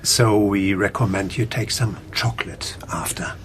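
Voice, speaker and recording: A courteous clinic attendant in a radio drama. His voice is fairly low and subdued. He speaks matter-of-factly, with faint ambience in the background. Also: unhurried, a bit bright, a little hiss or hum. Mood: polite, subdued. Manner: polite, subdued, matter-of-fact. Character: polite, reserved male clinic attendant. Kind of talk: radio play acting, soft procedural talk.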